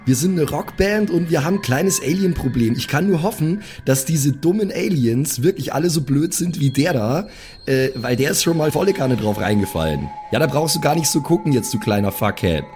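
A man playing a brash, high-pitched indignant rocker. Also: animated, brisk, a little mellow, soft background music, close to an everyday voice. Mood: indignant, scornful. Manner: cheeky, foul-mouthed. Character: brash, insulting, indignant rock band member. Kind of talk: improvised audio drama acting.

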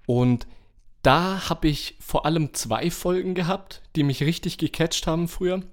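A man's voice: fairly high pitch, measured pace. A man co-hosting a podcast, chatting informally and thinking aloud as he reminisces. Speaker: podcast host. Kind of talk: casual chat, personal reminiscing.

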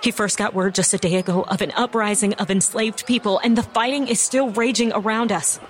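A woman's voice: medium pitch, fairly even intonation, a little fast, close to an everyday voice. An actress playing an urgent, earnest woman news reporter on the scene of a violent uprising in an audio drama. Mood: urgent, serious.

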